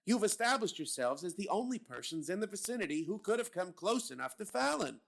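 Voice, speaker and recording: An actor playing a gruff police captain who grills his officers with blunt sarcasm. The voice sits a little high and swings widely up and down as he presses them. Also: big pitch swings, a little fast, fairly bright tone, exaggerated voice. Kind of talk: old-time radio drama reading.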